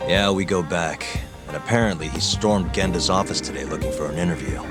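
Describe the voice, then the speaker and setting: Deep, laconic male investigator acted, loud music. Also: brisk, close to an everyday voice. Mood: dry, blunt. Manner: laconic, dry. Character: cool, terse male investigator, skeptical and blunt. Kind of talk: English game dub dialogue, understated.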